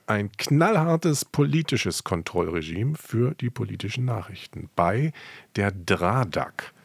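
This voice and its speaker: A man's voice: on the low side, lively intonation, takes it slowly, vocal fry, breathy edge, no echo. Guy chatting about history on a podcast, colloquial, asks stuff, dry studio sound. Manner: colloquial, questioning, emphatic. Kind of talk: conversational radio commentary.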